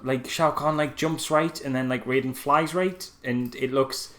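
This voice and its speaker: A man's voice: mid-range voice, fairly even intonation, measured pace, a bit bright. A man co-hosting a film review podcast in casual, conversational critique.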